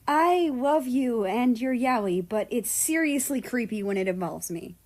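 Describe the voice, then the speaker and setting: A woman's voice: fairly high pitch, lively intonation, a little slow. A woman voicing a casual, playful young man with slangy, teasing speech in a read-aloud story. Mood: reluctant. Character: young male America, casual, playful, slangy, easily exasperated.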